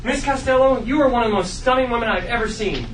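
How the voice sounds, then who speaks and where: A man playing a lovesick, excitable schoolboy in a sitcom table read, earnest and melodramatic. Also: extremely high pitch, fast talker. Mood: defiant, smitten. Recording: echoey room, distant mic, background noise.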